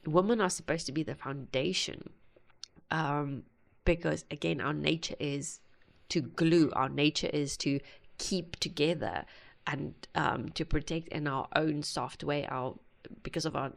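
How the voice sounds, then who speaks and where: A woman hosting a music reaction video, talking earnestly and haltingly with many pauses. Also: low voice, steady tone, vocal fry. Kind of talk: casual reaction commentary.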